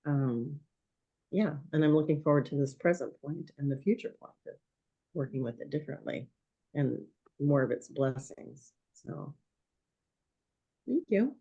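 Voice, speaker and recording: A woman in her sixties, a guest in a conversation, speaking thoughtfully and hesitantly with many pauses while her voice is going hoarse. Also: low-pitched, animated, warm tone, lo-fi sound. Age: 60s. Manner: thoughtful, hesitant with frequent ums. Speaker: podcast guest. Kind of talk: reflective conversation.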